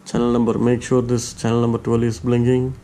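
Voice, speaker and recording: Man, even monotone, step-by-step device demo, hesitant delivery, clear background noise. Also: mid-range voice, fairly quick. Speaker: tutorial presenter. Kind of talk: informal step-by-step gadget demonstration.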